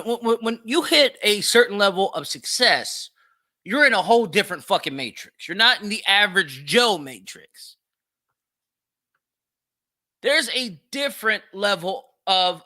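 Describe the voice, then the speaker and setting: Very bright, high-pitched American man ranting. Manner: blunt, profane, slangy; rhetorical questions and repetition for emphasis. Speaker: podcast host. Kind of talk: opinionated solo commentary on celebrity news.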